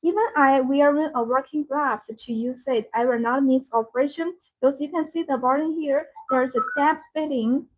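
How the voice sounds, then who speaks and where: Woman doing a live sales demo, high husky voice, halting non-native phrasing, kinda muffled audio. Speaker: live-stream sales host demonstrating a product. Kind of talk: unscripted live product demonstration. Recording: dull, band-limited live-stream audio.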